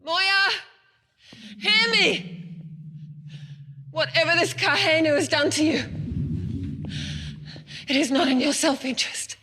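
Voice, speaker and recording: An actress playing an impassioned, defiant alien priestess with formal, declamatory delivery in science fiction television. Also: female voice, high voice, slow, pauses often, pushed and bright, full character voice. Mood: impassioned pleading. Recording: reverberant TV soundtrack clip.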